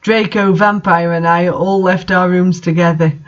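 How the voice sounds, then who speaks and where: A man with a high voice reads amateur fiction aloud in a mocking way. He breaks off for incredulous, exasperated commentary. The recording is dull and lo-fi. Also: fairly even intonation, moderate pace. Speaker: fan fiction reader and commentator. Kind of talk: mocking dramatic reading with commentary.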